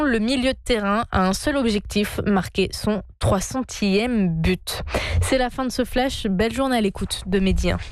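A woman reading a radio news bulletin in a brisk, measured newsreader manner. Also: medium pitch, no echo. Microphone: studio microphone.

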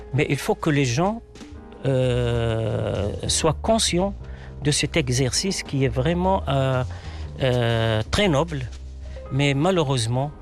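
A man whose first language is Arabic, a playwright answering interview questions in a hesitant way, with many fillers and restarts. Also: mid-range voice. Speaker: playwright guest.

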